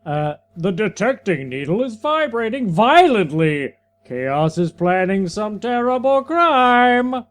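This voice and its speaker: A podcast co-host trading playful, quick quips and reading comic lines aloud. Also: medium pitch, very animated. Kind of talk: joking banter and comic reading.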